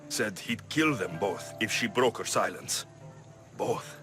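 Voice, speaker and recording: An actor playing a rugged, confident mercenary in a video game, blunt and wry. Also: male voice, lowish voice, expressive, slow, over music. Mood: intent, serious. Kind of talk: video game cutscene acting.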